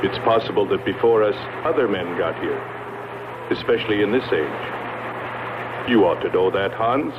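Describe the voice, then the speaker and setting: A man playing a reasoning, steady space expedition leader in dubbed 1960s science fiction film acting. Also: lowish voice, unhurried, long pauses. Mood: reasoning, open-minded. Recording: muffled, very noisy old film soundtrack.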